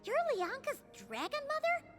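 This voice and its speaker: A woman voicing a tiny, excitable childlike companion girl in an anime game, with a squeaky voice and a chirpy, exclamatory manner. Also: normal speed, over music, exaggerated voice. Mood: curious, reassuring.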